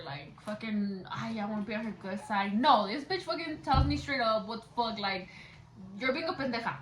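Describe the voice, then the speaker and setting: Giggly young woman in an echoey room. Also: on the high side. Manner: code-switches between English and Spanish; blunt, swears freely. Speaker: vlogger chatting with her best friend. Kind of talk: casual chat. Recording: echoey room with background noise.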